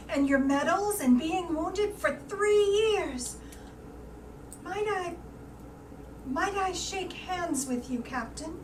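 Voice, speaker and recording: Very high-voiced, flustered housemaid played by a woman. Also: a bit bright, full character voice. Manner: hesitant, repeating words, earnest. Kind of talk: staged play reading. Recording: distant mic, echoey room, background noise.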